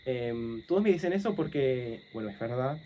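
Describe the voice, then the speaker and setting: A young English man chats to camera in Spanish that leans Argentine, his native English still underneath. His voice is mellow and dark, and he pauses often, correcting himself mid-thought. Faint insects and night sounds sit behind him. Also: mid-range voice, animated, a little hiss or hum. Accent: English speaker with Argentine-leaning Spanish. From England. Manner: thinking aloud, many fillers and self-corrections. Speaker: language-learning vlogger. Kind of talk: casual monologue to camera.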